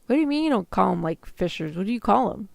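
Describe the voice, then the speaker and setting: A woman streamer talks in a loose, casual way with plenty of filler words. Her voice sits at a middle pitch with noticeable vocal fry. The sound is close and very dry. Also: very animated, fast talker, no echo. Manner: casual, loose, filler-heavy speech with frequent "like". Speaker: VTuber streamer practicing ASMR roleplay. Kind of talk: casual livestream chat, roleplay script practice.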